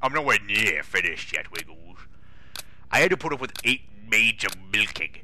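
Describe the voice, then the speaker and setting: A man's voice: on the low side, very animated, moderate pace, sharp tone, exaggerated voice. A man voicing a pompous, exasperated older railway boss with a British accent, grumbling indignantly in a comic dramatic reading.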